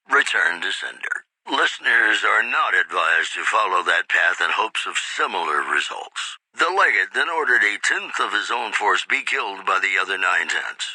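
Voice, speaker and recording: A silky radio crooner from a game's wasteland, bright and forward in tone and lively in pitch. He flatters his listeners with velvety charm, close to a dry studio mic. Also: no echo, heavily acted, rough recording. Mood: newsy. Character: smooth, flirtatious male radio DJ of the wasteland. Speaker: radio host and newsreader. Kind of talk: crooning radio announcer lines.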